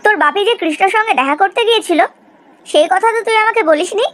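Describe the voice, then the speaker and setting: A woman's voice: extremely high pitch, fast talker, a bit bright. A woman playing a jealous, accusing young woman in a cartoon melodrama, with a confrontational, dramatic manner.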